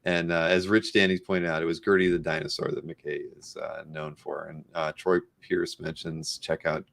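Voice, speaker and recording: A man's voice: rumbling low, little pitch movement, normal speed, vocal fry, fairly bright tone. A man hosting a casual live interview show, chatting informally and with enthusiasm. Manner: informal, enthusiastic, reads out viewer comments. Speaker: host of a live comic art show.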